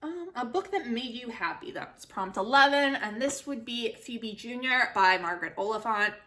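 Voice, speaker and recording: Female book vlogger, young adult, bright forward voice, casual and chatty, self-deprecating and giggly. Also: on the high side, lively intonation, normal speed. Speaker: book vlogger, channel host.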